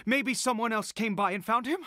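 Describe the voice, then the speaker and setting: An actor playing an anguished, fanatical father in a video game, speaking in a halting, dramatic way and repeating words with frantic urgency. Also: sits high, sharp tone, no echo. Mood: worried, dismayed. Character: anguished fanatical father searching desperately for his son.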